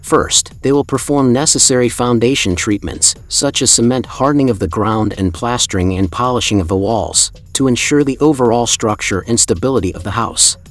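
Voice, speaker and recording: Male narrator for a construction documentary, formal and admiring delivery, mid-range voice, soft music underneath. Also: mid-range voice, moderate pace, few pauses. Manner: formal, admiring, evenly paced reading. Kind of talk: scripted documentary voice-over. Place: voice-over, not the pictured building site. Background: soft background music.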